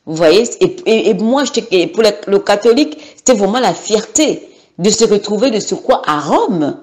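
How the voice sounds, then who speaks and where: A woman's voice: medium pitch, animated, moderate pace, a little mellow. A woman from Gabon in her thirties giving an unscripted personal testimony, hesitant, with many fillers and repeated words.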